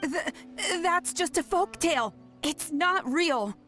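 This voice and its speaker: Nervous, high-voiced young townswoman. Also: expressive, takes it slowly, fairly bright tone, soft background music, full character voice. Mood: nervous disbelief. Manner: nervous, stammering. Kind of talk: video game voice acting.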